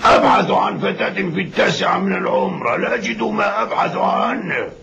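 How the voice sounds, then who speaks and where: A man's voice: high voice, lively intonation, brisk, gravelly, lo-fi sound, a crowd in the background, exaggerated voice. An actor playing a stern middle-aged man seeking a servant, proclaiming in a cartoon. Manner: loud proclaiming. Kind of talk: cartoon dub acting.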